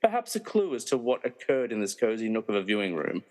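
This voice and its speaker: A man's voice: on the low side, big pitch swings, fast talker, darkish tone. A man playing an opinionated, wry narrator who reads scene directions for a comedy mystery radio play. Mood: wry, scene-setting. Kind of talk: scripted narration. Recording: long echoing room reverb.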